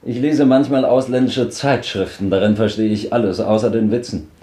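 An actor playing a sharp young man who needles the old men sardonically, in a 1950s radio drama. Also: on the low side, fairly even intonation, normal speed, roomy sound, close to an everyday voice. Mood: mocking.

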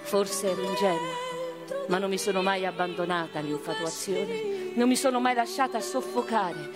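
A woman acting in radio theatre, delivering a dramatic first-person monologue as a proud, reflective noblewoman with deliberate phrasing. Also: medium pitch, expressive, over loud music. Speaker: actress in a radio play.

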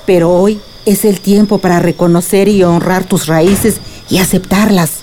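Woman acting a mellow, tender old Mexican grandmother. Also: fairly low pitch, steady tone, normal speed, a little hiss or hum, natural voice. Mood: solemn, reminiscing. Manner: consoling, storytelling. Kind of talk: radio drama acting.

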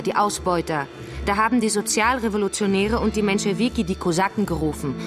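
A woman's voice: mid-range voice, close to an everyday voice. An actress playing a resolute, earnest woman revolutionary in a radio drama, speaking in a declamatory way. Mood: fervent, combative. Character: fervent woman revolutionary proclaiming a planned utopia of machines. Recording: music playing behind the voice.

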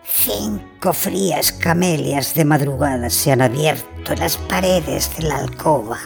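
A woman playing a mischievous, knowing sprite in a radio drama, deliberate and conspiratorial. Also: low voice, gravelly, a little mellow. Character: mischievous, knowing female sprite, gossiping about lovers. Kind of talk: stage-like radio drama acting. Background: music.

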